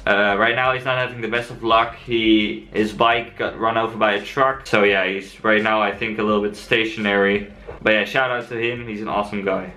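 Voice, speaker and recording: A young Dutch man with a Dutch accent, answering viewer questions in a relaxed, chatty vlog. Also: fairly low pitch, moderate pace. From Netherlands. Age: young adult. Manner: relaxed and chatty, frequent fillers. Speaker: cycle-touring vlogger. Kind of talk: casual vlog Q&A.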